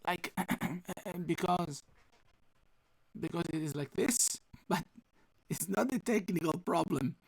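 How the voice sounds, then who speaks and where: A man with a rough, husky voice teaching a live online class, talking haltingly as he works on his computer audio. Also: high voice, lively intonation, dry recording, poor audio. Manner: halting, restarts while fixing audio.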